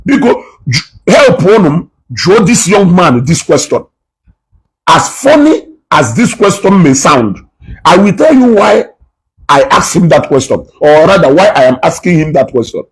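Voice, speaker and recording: This is a man talking straight to his viewers in a fired-up reaction commentary. His voice sits fairly high and sounds husky and breathy. His pitch leaps around as he drives home each point, often repeating himself. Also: sing-song, moderate pace, low-quality recording. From Nigeria, Igbo. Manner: passionate and preachy, repeats words for emphasis.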